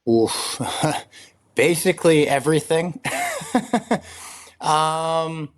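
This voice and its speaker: A young man with a slightly raspy voice, talking casually as an interview guest, rambling with lots of fillers and frequent laughs. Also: fairly high pitch, extremely slow. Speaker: guest, ultrarunner.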